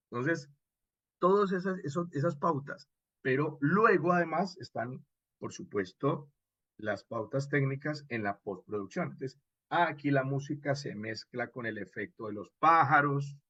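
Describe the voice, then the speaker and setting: A man giving an informal online lecture as an instructor, expressive and didactic, often checking in with short questions. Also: medium pitch, a little slow, breathy edge, no echo, highs cut off. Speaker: radio drama instructor.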